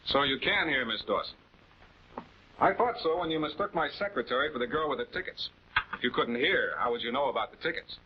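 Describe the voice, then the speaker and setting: An actor playing a composed, methodical male prosecutor, speaking with deliberate authority in a 1940s television crime drama. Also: mid-range voice, lively intonation, noisy background, natural voice. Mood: explaining, firm. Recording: dull 1940s film soundtrack.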